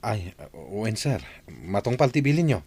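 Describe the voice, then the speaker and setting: A man's voice: on the low side, sing-song, normal speed, a little mellow, slightly rough, no room sound, voice pushed down, exaggerated voice. A man voicing a timid, stammering guard for a radio drama. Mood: meek. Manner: stammering, meek.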